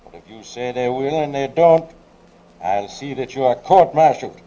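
A man's voice: medium pitch, lively intonation, moderate pace, warm tone, muffled recording, close to an everyday voice. A man playing a stern naval commander in a radio war drama, solemn and commanding as he addresses his men. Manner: commanding, solemn, projected delivery. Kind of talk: old-time radio war drama acting.

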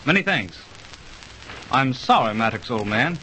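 A lively officer. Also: male voice, medium pitch, unhurried, a little hiss or hum, poor audio. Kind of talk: 1930s radio drama acting.

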